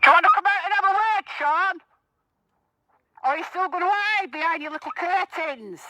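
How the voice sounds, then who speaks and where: A woman's voice: very high voice, normal speed, sharp tone, dull audio. A woman gives a confrontational, accusatory protest speech out on a street, addressing bystanders through a megaphone. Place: outdoors on a residential street.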